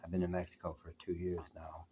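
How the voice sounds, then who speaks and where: A man's voice: low-pitched, completely flat delivery, fast, dark tone, a little hiss or hum. An older man from the United States, talking in a reflective, rambling way to camera from his apartment, saying he has a big voice. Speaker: vlogger. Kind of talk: reflective solo talk.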